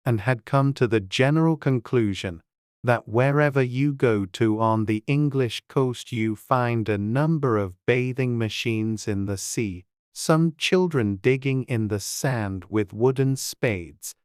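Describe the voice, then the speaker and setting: A woman narrating a storybook as an audiobook, with a measured storytelling delivery. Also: extremely low pitch, darkish tone, no echo, clean studio sound.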